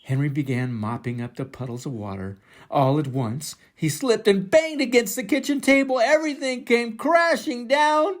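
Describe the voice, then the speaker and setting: An older man reads a children's picture book aloud in an expressive, dramatic way, drawing out words for emphasis. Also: sits high. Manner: dramatic, deliberate, drawn-out emphasis. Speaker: storybook reader for children.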